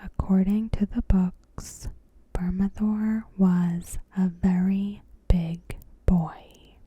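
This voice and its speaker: A woman ASMR host giving commentary in a hushed, whispery, breathy voice, in measured short phrases. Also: medium pitch, level tone, no room sound. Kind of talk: ASMR, softly spoken TV episode commentary.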